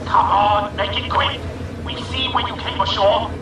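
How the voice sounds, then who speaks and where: An actor playing a menacing, mocking male henchman in a radio drama, calling out tauntingly through a loudhailer. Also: high-pitched, fairly even intonation, a little slow, noisy background. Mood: threatening. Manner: shouting, taunting. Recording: echoing, distant voice under loud music.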